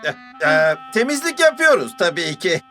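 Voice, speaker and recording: A mischievous young boy car from a children's cartoon. It is boisterous and boastful, with a very animated delivery. Music plays clearly underneath. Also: mid-range voice, moderate pace. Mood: grumbling. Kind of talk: animated children's series dub.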